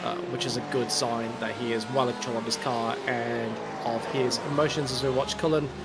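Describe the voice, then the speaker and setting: A man with an Australian accent calling a live sim race as commentator, carrying a cold, steady and explanatory. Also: mid-range voice, vocal fry. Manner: measured play-by-play, explains moves. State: has a cold. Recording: loud game car noise behind.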